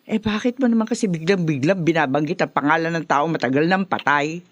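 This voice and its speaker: An actress playing a proud, outspoken middle-aged mother in a Filipino radio drama, expressive and emphatic. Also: low voice, brisk, no echo, highs cut off. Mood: brisk, feigning indifference.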